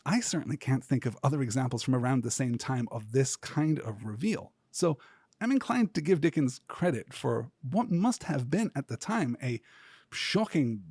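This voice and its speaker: A man hosting a podcast, delivering scripted literary commentary in a measured, articulate way with deliberate, emphatic phrasing. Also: medium pitch, darkish tone, slightly rough, dry recording, clean studio sound. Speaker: podcast host.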